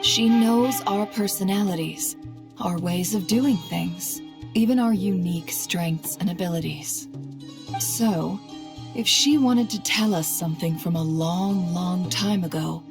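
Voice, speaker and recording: Brief: proud, resolute boss woman, lowish register, measured and wry, game cinematic drama with music underneath. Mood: deliberate, reasoning. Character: composed, proud, resolute woman who leads a secret organization. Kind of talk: dramatic game cutscene acting.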